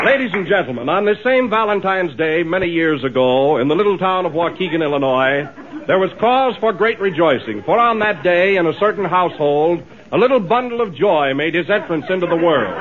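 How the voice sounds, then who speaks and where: A man's voice: sits high, lively intonation, fairly quick, phone-quality sound, noisy background. An American man announcing a 1930s radio program and its commercials in a polished, declamatory manner. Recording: thin 1930s radio broadcast over music.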